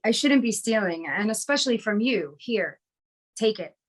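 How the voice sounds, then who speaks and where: A woman's voice: medium pitch, expressive, moderate pace, lightly acted. A woman playing a distressed, pleading middle-aged prostitute in a screenplay table read. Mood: upset, apologetic. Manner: pleading, emotional.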